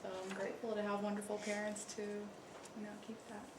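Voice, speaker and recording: A woman's voice: mid-range voice, completely flat delivery, fairly quick. A young woman speaking casually on a conference panel, chatty with fillers like "you know". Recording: echoey room, distant mic, noisy.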